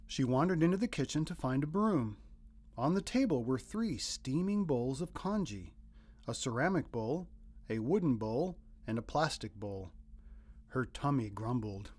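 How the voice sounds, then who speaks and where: Guy reading a kids picture book out loud, super animated up and down delivery. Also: mid-range voice, unhurried. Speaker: storybook narrator. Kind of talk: expressive scripted narration of a children's picture book.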